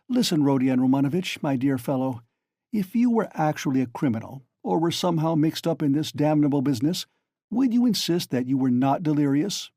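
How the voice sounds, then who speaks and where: Man putting on a sly genial magistrate, wheedling, raised voice, mellow, dry sound. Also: on the high side, no echo, voice pushed up. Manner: wheedling, insinuating, lively questioning. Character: sly, genial middle-aged male magistrate.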